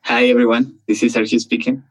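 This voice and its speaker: A man's voice: medium pitch, little pitch movement, brisk. A man joining a podcast remotely, eager and friendly, often repeating words as he talks. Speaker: researcher, podcast guest. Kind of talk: casual chat. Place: remote, not in the studio.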